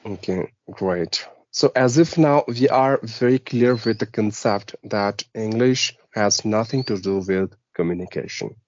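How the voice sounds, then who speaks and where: A man's voice: fairly low pitch, unhurried, few pauses, highs cut off. A man interviewing a guest, speaking formally and praising effusively.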